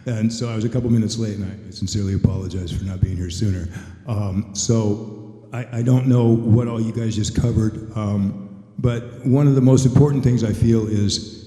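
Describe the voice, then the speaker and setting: Dark-voiced older man in an echoey hall. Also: on the low side, fairly even intonation, normal speed. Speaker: veteran voice actor on a convention panel. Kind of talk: conversational advice to an audience. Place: convention panel room. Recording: echoey hall, long reverb.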